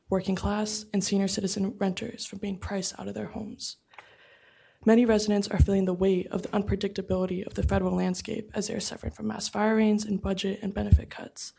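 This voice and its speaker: A woman reading prepared public testimony at a city council meeting in an earnest, steady way. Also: medium pitch, vocal fry, breathy edge. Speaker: renter outreach coordinator testifying.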